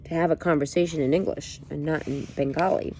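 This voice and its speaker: A woman's voice: low voice, steady tone, brisk, a little mellow, a little hiss or hum, poor audio. A woman reading a book aloud, breaking off for playful, joking asides. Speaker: reader.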